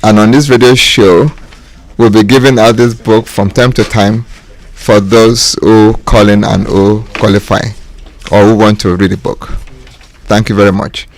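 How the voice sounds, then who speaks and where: A man giving an explanatory talk as a radio guest, in deliberate phrases broken by pauses. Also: on the low side, steady tone. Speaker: author and radio guest speaker.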